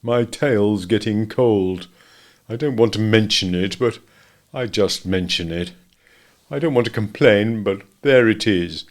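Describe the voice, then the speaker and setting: A man voicing a gloomy old donkey who grumbles slowly. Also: fairly low pitch, natural voice. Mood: glum. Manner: slow, grumbling.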